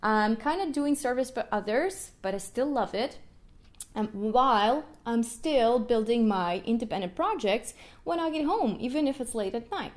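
A young adult woman from Ukraine, a native Russian speaker, hosting a solo podcast as a music educator. She talks in an animated way, often checking in with "right?" and laughing as she goes. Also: fairly high pitch, moderate pace.

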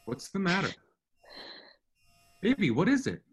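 Actor as inattentive husband in his thirties, husky voice, very varied intonation, casual, radio drama. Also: high voice, huge pitch swings, a little slow, exaggerated voice. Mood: puzzled, defensive. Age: 30s.

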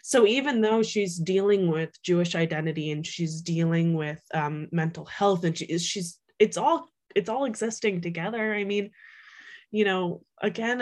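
A young adult woman, an author, talking casually in an interview, with lots of fillers, restarts and laughs. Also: medium pitch. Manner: chatty, many fillers and restarts, laughs often. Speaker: author guest.

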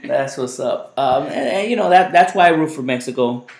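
A man's voice: mid-range voice, animated, moderate pace, darkish tone. A man hosting a casual podcast chat, rambling loosely and repeating his words, whose first language was Spanish. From United States. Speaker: podcast host. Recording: echoey room.

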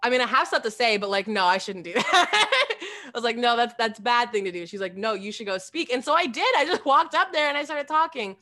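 Bright-toned woman in her twenties, talks fast and loose with lots of "like"s, council candidate being interviewed. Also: a little high, animated. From Des Moines, Iowa. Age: 20s. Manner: chatty, laughs often, many "like" fillers. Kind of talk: casual interview answers.

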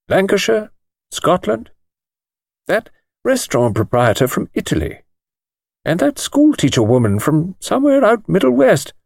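A man's voice: sits high, gravelly, a little mellow, dry recording, studio quality, pitched above the performer's own voice, full character voice. A man voicing an elderly British lawyer in an audiobook, with a thin, precise, hesitant and musing delivery. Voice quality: thin, small voice.

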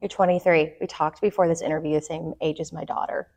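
A woman's voice: sits low, level tone, fast, vocal fry, a little mellow. Chatty middle-aged woman hosting a podcast. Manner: chatty and enthusiastic. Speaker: podcast host. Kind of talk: casual interview chat. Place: office.

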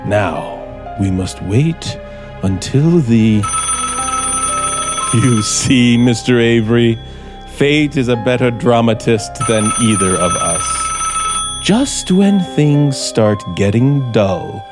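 A man's voice: mid-range voice, animated. An actor playing an embittered scriptwriter in a 1950s radio thriller, theatrical and mockingly polite as he coolly plots murder. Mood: coolly menacing. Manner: theatrical, deliberate, mockingly polite, narrating his own actions. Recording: vintage 1950s radio broadcast with music.

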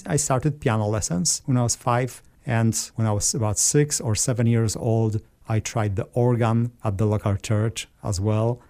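A man from Poland with a Polish accent, a guest organist calmly explaining things in a radio interview. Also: on the low side.

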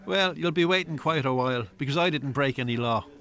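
A man's voice: mid-range voice, fairly quick, bright, forceful tone. A man playing a callous Irish pub owner in a radio drama, dismissive and defiant.

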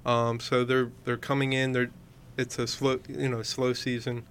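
A man's voice: medium pitch, flat delivery, moderate pace, a bit bright, slight background noise. A man hosting a radio show who rambles in casual chat, full of fillers like "you know". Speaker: radio host. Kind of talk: casual radio chat promoting upcoming shows.

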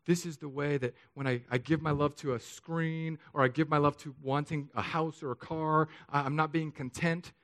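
A man in his thirties from Southern California, preaching a sermon in an earnest, confessional way that swings between soft and emphatic. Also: fairly high pitch, steady tone, moderate pace, hardly any pauses. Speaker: pastor.

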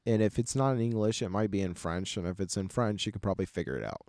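A man's voice: lowish voice, quick pace, dry recording, clean studio sound. A man chatting casually, telling laid-back travel stories and briefly acting out exchanges. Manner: relaxed storytelling, acts out short exchanges. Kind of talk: casual chat.

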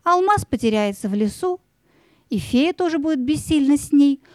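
A woman narrating a children's story in an expressive, suspenseful, dramatic scripted style. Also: high-pitched, moderate pace, no room sound.

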